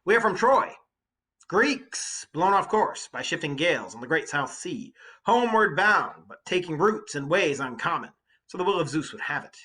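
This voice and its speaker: A man's voice: a little high, very animated, normal speed, pushed and bright, voice pushed up. A man reading as a proud, courteous Greek warrior from a storybook, formal and emphatic. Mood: proud, entreating. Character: Greek warrior wanderer, proud yet courteous, pleading for hospitality.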